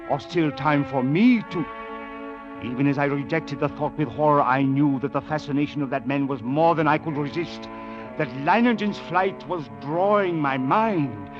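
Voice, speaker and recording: An actor playing a troubled, fatalistic district commissioner, intense and brooding in an old-time radio drama style. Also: male voice, fairly high pitch, lightly acted. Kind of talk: 1940s-50s radio drama narration and acting. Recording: muffled vintage radio recording, music behind.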